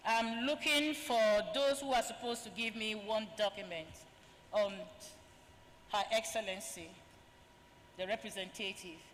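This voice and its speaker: A woman with a high, bright voice hosts a formal conference as master of ceremonies. She reads distinguished guests' credentials in a ceremonious tone. Her handheld mic carries into a large, echoing conference hall. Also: slight background noise. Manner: ceremonious, reads guest bios aloud with honorifics. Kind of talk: formal event introductions.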